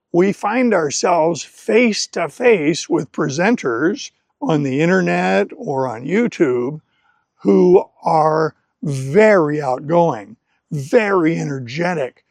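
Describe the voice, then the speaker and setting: Male native-English language presenter, very unhurried and deliberate, careful phrasing, dry sound. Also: fairly high pitch, a little mellow, dry recording. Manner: deliberate, carefully phrased in short clear segments. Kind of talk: scripted educational talk to camera.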